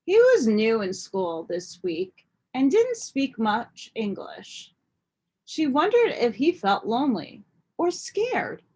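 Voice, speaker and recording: A woman reading a children's storybook aloud in expressive narration. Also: on the high side, breathy edge, rough recording. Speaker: storybook reader.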